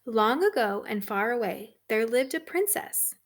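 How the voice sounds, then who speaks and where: Female storybook reader for young children, high voice, very measured pace, expressive delivery. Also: a little hiss or hum. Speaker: storybook reader for third graders. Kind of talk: read-aloud storytelling for children.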